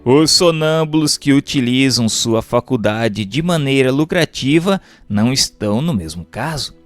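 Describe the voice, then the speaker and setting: A man narrates a book in a formal, didactic way. His delivery is measured and scripted, at an average male pitch, with music playing behind him. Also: animated. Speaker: audiobook narrator. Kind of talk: scripted narration, reading a religious book aloud.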